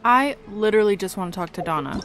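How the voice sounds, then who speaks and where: A woman's voice: mid-range voice, lively intonation, fast talker, a bit bright. Casual, grumbling woman gamer. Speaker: gaming video host. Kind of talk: casual gameplay commentary. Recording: music in the background.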